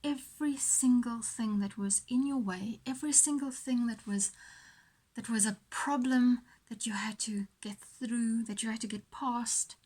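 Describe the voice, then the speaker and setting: Lady coach doing a guided visualisation, kinda high breathy voice, pauses a lot and repeats phrases. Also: darkish tone. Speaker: host leading a guided visualisation.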